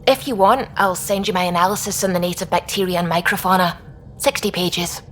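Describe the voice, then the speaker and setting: A woman's voice: lowish voice, steady tone, a little fast, a little hiss or hum, close to an everyday voice. A woman playing an earnest, self-deprecating lab scientist in a video game. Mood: modest.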